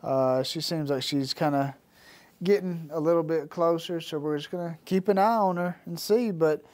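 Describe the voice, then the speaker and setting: Farm vlogger, man, stuffy nasal voice from a cold, halting and casual, slightly high, mellow tone. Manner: halting, many fillers and restarts. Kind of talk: casual talk to camera. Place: barn at night.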